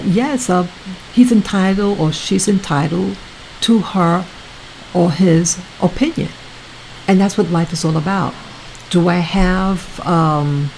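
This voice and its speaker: A woman hosting her own video channel, talking casually to her viewers in a soft, soothing voice, halting often and repeating herself. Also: lowish voice, a little hiss or hum, poor audio. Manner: slow, repetitive, halting with many restarts. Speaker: YouTube channel host.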